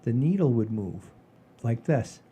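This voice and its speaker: An older man with a husky, mellow voice hosts a casual podcast. He tells stories in a rambling, folksy way, animated and loose with his language. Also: mid-range voice, measured pace. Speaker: podcast host. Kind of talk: casual chat and storytelling.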